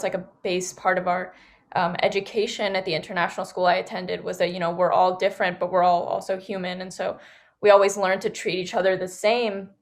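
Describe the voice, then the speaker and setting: A young woman from Singapore and Los Angeles telling a personal story as a podcast panel guest, in casual youthful phrasing peppered with "like" and "you know". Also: on the low side.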